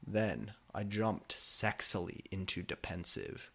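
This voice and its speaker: Low-pitched man reading slowly. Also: steady tone, gravelly, a bit bright, breathy edge. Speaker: reader of fan fiction. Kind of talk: dramatic reading of fan fiction.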